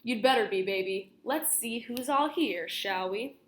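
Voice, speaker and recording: A woman's voice: fairly high pitch, measured pace, natural voice. A woman voicing a cocky, indignant male wolf from a storybook, chummy and pushy, talking theatrically straight to the listener. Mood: chummy, persuasive. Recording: roomy, echoing room sound.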